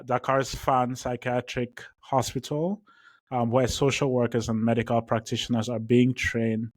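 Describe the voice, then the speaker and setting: A man hosting a podcast, reading a guest introduction and asking questions, upbeat but halting with many fillers. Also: mid-range voice. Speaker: podcast host. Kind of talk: scripted introduction and interview questions.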